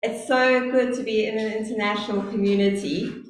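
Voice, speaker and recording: A middle-aged woman with a South African accent preaching a sermon, telling stories slowly and earnestly. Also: on the high side, steady tone. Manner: earnest, storytelling, speaks slowly on purpose. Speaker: lay preacher. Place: church. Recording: very echoey church, faint hum.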